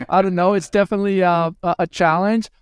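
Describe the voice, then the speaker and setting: A man's voice: high-pitched, fairly even intonation, fairly quick, smooth, no echo, studio quality. A man in his thirties from Venezuela, a guest answering interview questions with many fillers and restarts. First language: Spanish. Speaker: guest, hospitality tech executive.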